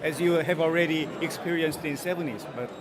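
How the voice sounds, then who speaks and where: Fast-talking Korean-accented interviewer outdoors. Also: mid-range voice. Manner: polite, brief questions with steady backchannel murmurs. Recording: busy crowd behind. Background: crowd.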